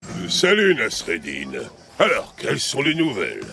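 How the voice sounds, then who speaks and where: Boastful cartoon townsman: gullible blusterer, very swoopy delivery with a creaky edge. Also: mid-range voice, wildly swooping pitch, measured pace, over loud music, heavily acted. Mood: self-satisfied. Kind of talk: French children's cartoon dubbing.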